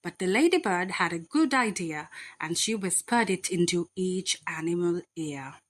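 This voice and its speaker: A woman reading a rhyming children's bedtime story aloud in a deliberate, expressive, dramatic way. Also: on the low side.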